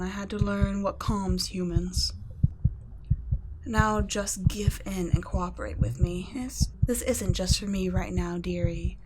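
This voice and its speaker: A woman voicing a cool, condescending female vampire scientist in an ASMR roleplay, soft-spoken and patronizing, often dropping to a whisper. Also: mid-range voice, background noise, natural voice. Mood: impatient.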